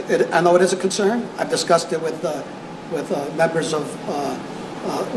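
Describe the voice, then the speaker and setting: Male police tech official, press conference answers, hesitant phrasing with repeats, echoey noisy room. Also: fairly high pitch. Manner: hesitant, repeats words while searching for phrasing. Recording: echoey room with clear background noise.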